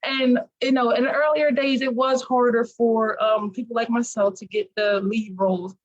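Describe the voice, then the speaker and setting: A woman's voice: on the high side, fairly even intonation, normal speed, lo-fi sound. A woman answering conversationally as an interview guest, chatty and emphatic about her points. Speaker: talk show guest, actress.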